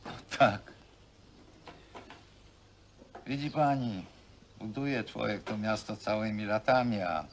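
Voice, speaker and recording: Aggrieved male builder: lowish voice, grumbling, plain speech, old film with faint noise. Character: aggrieved, plain country-born builder living in workers' hostel. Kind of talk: naturalistic film acting.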